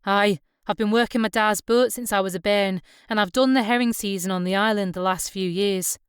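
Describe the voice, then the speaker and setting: A woman's voice: medium pitch, fairly even intonation, fairly quick, smooth, no room sound, clean studio sound, close to an everyday voice. A woman voicing a shy young island fisher lad, deferential and hesitant, eager for work, in an audiobook. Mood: nervous, eager. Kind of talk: audiobook character dialogue.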